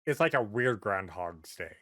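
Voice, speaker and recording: A man's voice: lowish voice, animated, normal speed, pushed and bright, dry recording, studio quality. A man hosting a podcast, chatting casually and swearing freely as he tells anecdotes and acts out little quotes. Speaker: podcast host. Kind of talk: casual podcast chat about movies and games.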